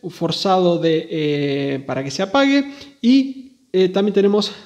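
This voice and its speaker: A man's voice: high voice, lively intonation, a little slow, a little mellow. A man hosting a hobby channel, giving a casual, chatty, informal product demo. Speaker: host of a radio hobby channel.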